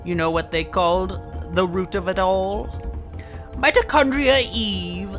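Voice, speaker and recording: A woman in a fan dub voicing an obsessive, condescending, sinister professor with a deliberate, theatrical way of lecturing. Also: mid-range voice, a bit bright, faint music behind. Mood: reverent intensity. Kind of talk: fan dub voice acting of a video game scene.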